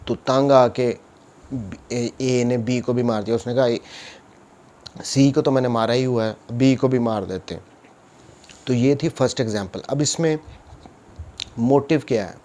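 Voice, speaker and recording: A man's voice: medium pitch, unhurried, long pauses, warm tone. A man with a Pakistani accent giving an explanatory law lecture, mixing in English terms and answering his own questions step by step.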